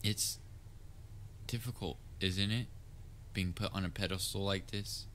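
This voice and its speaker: Over a clearly noisy ambient background comes a man acting as a theatrical male supervillain with a fairly low voice. He is soft-hearted and anxiously attached to his nemesis, speaking straight to the listener in an earnest, halting way with touches of wry banter, as in an audio roleplay. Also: fairly even intonation. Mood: tender, sincere.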